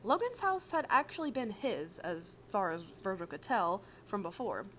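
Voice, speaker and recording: A woman's voice: mid-range voice, sing-song, moderate pace, fairly bright tone. Woman reading aloud, noisy amateur recording. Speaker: podfic reader. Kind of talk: expressive fan fiction narration.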